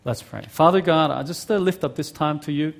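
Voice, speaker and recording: A middle-aged man preaching a sermon, his English carrying a blend of Korean, Australian and American accents. His voice sits a little high, and he talks in a friendly, halting way, with frequent ums and uhs. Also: creaky. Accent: mix of Korean, Australian and American. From South Korea. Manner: friendly, halting, many fillers. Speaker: guest pastor.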